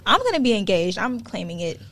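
A woman's voice: mid-range voice, sing-song, brisk, fairly bright tone. A woman in her twenties hosting a podcast, chatting casually and filling her talk with "like". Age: 20s. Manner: chatty, lots of "like", laughs often. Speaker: podcast host. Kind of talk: casual chat.

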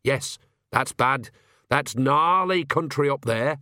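A man's voice: medium pitch, slow pace, pushed and bright, no echo, clean studio sound. A man voicing a bossy, cheerful old woman, a witch with a piercing voice and blunt, rustic, teasing talk in a storybook. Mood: sharp.

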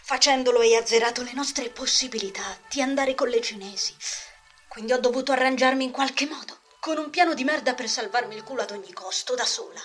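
A woman's voice: on the high side, brisk, fairly bright tone, close to an everyday voice. Actress as hard, scheming female convict, forties, conspiratorial and coarse, homemade dub with faint music. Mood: cold, resentful. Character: cynical, scheming forty-year-old female prison inmate. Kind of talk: Italian amateur fan dubbing of prison drama. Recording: homemade lo-fi desk microphone, music behind.

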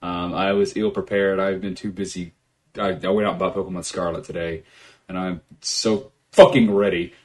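A man with a lowish voice hosts a loose, casual podcast from his home setup. He swears freely and laughs often at his own jokes. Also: little pitch movement, moderate pace. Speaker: podcast host. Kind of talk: casual chat.

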